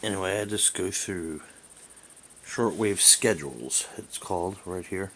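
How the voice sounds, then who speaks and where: A man with a low voice talks casually through a hands-on demo, hesitating and thinking aloud as he goes. It sounds like a hobbyist's homemade camera recording, with a faint hiss under the voice. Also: a little slow, fairly bright tone. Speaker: amateur reviewer and radio hobbyist. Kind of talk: casual hands-on app demonstration. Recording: hissy amateur camera recording.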